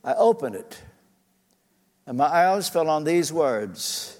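Older male pastor, slightly high voice, earnest storytelling sermon, long church reverb. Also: big pitch swings, slow. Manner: earnest, personal, shifting pace with frequent uh fillers.

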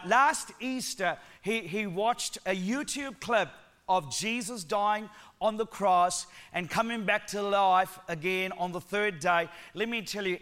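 A man with a Sri Lankan accent mixed with New Zealand, preaching a lively, joking sermon in church and calling on the congregation to respond. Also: sits high. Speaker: guest preacher.

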